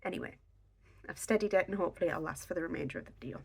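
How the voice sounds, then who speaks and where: A young woman with a slightly raspy, croaky voice, chatting casually to a camera on a tripod at home, giving pregnancy advice. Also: fairly low pitch, very fast, a little mellow. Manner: chatty, filler-heavy, reassuring. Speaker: pregnancy vlogger. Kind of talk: casual instructional talk to camera.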